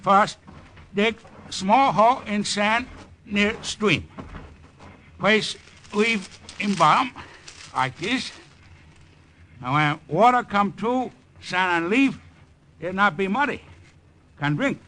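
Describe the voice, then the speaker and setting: An actor playing a stoic village guide in an old radio drama, in clipped broken English. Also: male voice, high-pitched, lively intonation, takes it slowly, pauses often, sharp tone, heavily acted. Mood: calm, instructive. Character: calm, capable male jungle villager guide, stoic and practical. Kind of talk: 1940s-50s radio drama acting. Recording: noisy vintage radio recording.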